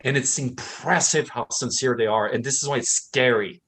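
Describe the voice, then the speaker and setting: Impassioned man at mid pitch. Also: normal speed, fairly bright tone, breathy edge. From Thailand. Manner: impassioned, acts out quoted speakers. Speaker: co-host. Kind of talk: book discussion chat.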